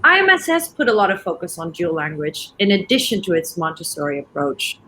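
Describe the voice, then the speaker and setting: Host, woman, bright and upbeat on a video call, slight background hiss. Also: fairly low pitch, big pitch swings. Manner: encouraging, quick to agree. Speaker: interview host. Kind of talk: interview on a livestream.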